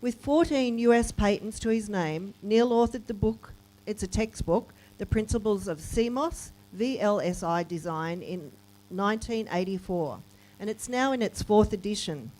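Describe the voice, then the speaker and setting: A woman's voice: medium pitch, normal speed, mellow, rough recording. A woman, a councillor formally reading out a guest's profile at a ceremony. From Australia.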